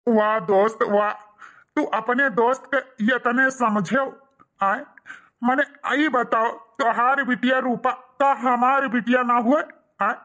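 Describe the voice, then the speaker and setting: A man's voice: very high voice, fairly even intonation, takes it slowly, pushed and bright, heavily acted. A man voicing a loyal, earnest village man in a cartoon, with an Awadhi accent and an emphatic, declaiming delivery. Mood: hurt, insistent.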